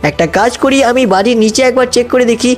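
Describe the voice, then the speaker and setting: A gameplay narrator telling a cartoon story in an excited, exclamatory way, constantly addressing viewers. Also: medium pitch, fast talker, soft background music. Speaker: gaming video narrator playing the lead character.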